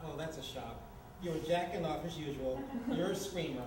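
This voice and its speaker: A man's voice: a little high, normal speed, lightly acted. A man acting a wry, needling, unbothered housemate in a comedic staged reading. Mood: teasing. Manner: sardonic, needling. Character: wry, teasing, unbothered male housemate, messier one. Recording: distant, very echoey room, noisy ambience.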